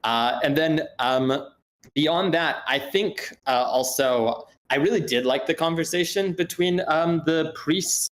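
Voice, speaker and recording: A man's voice: on the high side, slow pace. A man giving spoken script feedback in a group discussion, speaking hesitantly and circling back to rephrase his points.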